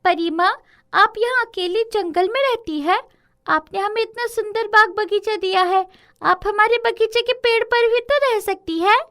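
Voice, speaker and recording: A woman's voice: very high voice, unhurried, pushed and bright, pitched above the performer's own voice, exaggerated voice. A woman voicing an innocent, eager little village girl in a storybook tale, earnest and inquisitive. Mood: cheerful.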